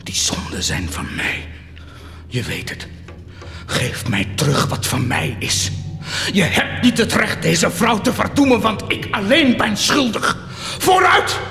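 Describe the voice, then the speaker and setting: An actor playing a tormented, fervent man who defies God, in declamatory radio drama style, swinging between hushed and roaring delivery. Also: high-pitched, very animated, a little slow, vocal fry, full character voice. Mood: hushed, solemn. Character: tormented, fervent man defying God and claiming divine wounds. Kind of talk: theatrical radio drama acting. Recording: cavernous long reverb under loud music.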